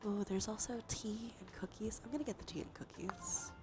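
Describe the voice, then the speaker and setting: A woman's voice: medium pitch, steady tone, normal speed. Whispering woman doing cozy ASMR gaming commentary. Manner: murmured thinking aloud, musing. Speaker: livestreamer. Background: music.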